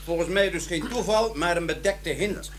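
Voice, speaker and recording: High-pitched detective, radio drama, sure of himself, colloquial, faint hum behind. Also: male voice, normal speed, a bit bright. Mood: matter-of-fact. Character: convinced, colloquial male police detective. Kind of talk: Dutch radio drama acting. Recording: background noise and hum.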